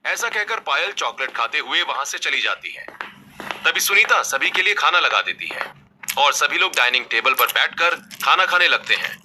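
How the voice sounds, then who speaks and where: A man's voice: a little high, lively intonation, moderate pace, sharp tone, a little hiss or hum. A man reading scripted narration as the storyteller of a family tale. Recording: faint background music.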